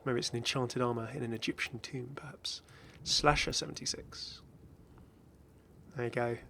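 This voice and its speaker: Bright, chatty man commentating on games. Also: mid-range voice, fairly even intonation, moderate pace, vocal fry, in a whisper. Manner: chatty, rambling, enthusiastic asides. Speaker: gaming video host. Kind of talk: casual off-the-cuff game commentary.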